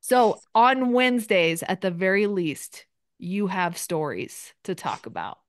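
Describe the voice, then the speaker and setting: A woman's voice: medium pitch, animated, measured pace, no room sound, clean studio sound. A woman coaching people in a live group session, speaking in a direct and encouraging way. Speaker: business coach.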